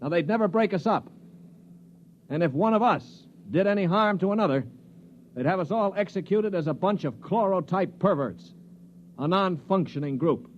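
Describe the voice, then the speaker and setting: An actor playing a blunt, cynical Earthman prisoner who narrates a 1950s science fiction radio play in a sardonic, forceful manner. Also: sits high, animated, moderate pace, a little hiss or hum. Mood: sardonic, commanding. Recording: muffled 1950s radio recording.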